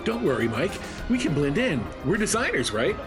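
A man's voice: fairly high pitch, big pitch swings, moderate pace, over music. A man playing an eager, optimistic game designer hero in a comic radio play, reassuring and wide-eyed.

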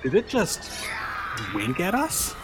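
A man's voice: high-pitched, big pitch swings, slow pace, music in the background, heavily acted. A man playing a panicky, exasperated and sceptical game designer sidekick in a comic radio play. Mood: exasperated disbelief. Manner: incredulous, fretful.

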